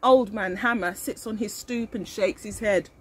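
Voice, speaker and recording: An older woman teacher reading a picture book to young children expressively, pausing to explain and ask questions. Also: mid-range voice, slightly rough. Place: outdoors in a leafy garden. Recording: outdoor garden recording with birdsong and noise.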